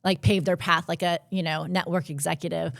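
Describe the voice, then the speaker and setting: A woman's voice: on the low side, fairly even intonation, brisk, gravelly, no echo, studio quality. A woman podcast host who asks long, hesitant questions full of fillers. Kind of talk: casual interview chat.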